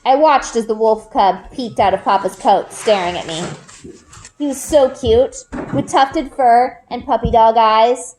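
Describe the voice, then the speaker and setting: A woman reading a children's book aloud like a teacher, with expressive storytelling emphasis and dramatic pauses. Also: fairly high pitch, slight background noise, low-quality recording.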